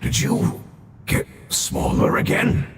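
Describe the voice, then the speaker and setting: A man's voice: slow pace, over music, close to an everyday voice. A man playing an ancient, dying monstrous guardian in a video game, weary and solemn, speaking formally in a growling voice. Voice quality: very deep, gravelly growl. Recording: echoing voice with rumbling background noise.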